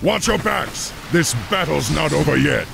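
A man playing a resolute, commanding heroic orc war chief in a video game, with a gravelly voice. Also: fairly high pitch, animated, measured pace, music in the background, heavily acted. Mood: urgent. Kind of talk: video game hero acting. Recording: rain and game ambience behind.

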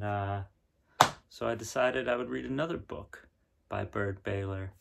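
A man's voice: lowish voice, creaky. A man in his thirties talking casually to camera as he presents and reads a storybook. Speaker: storybook reader. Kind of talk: casual read-aloud to camera. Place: small room at home, indoors.

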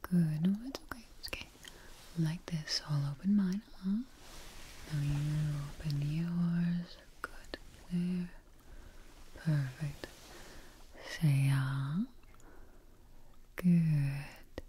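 Slow, whispering young woman in close ASMR. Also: low-pitched, a little hiss or hum. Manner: soft whispering, gently reassuring. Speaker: ASMR creator. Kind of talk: ASMR roleplay.

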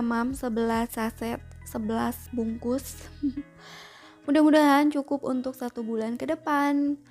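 Chatty high-voiced young woman vlogger. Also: darkish tone. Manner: chatty, asks viewers to comment. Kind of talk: grocery shopping voice-over.

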